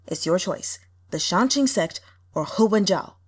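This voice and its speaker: A man's voice: high voice, animated, measured pace, breathy edge, heavily acted. A woman voicing a menacing, impatient male sect lord in an audiobook, blunt, imperious and scornful. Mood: cold threat. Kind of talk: audiobook character acting.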